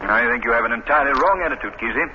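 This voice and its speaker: An actor playing an urbane, composed but bold gentleman, polite and articulate with firm emphasis, in 1930s radio style. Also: mid-range voice, racing, natural voice. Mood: calm reasoning. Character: urbane gentleman visitor, composed, then boldly defiant. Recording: scratchy, muffled 1930s radio recording.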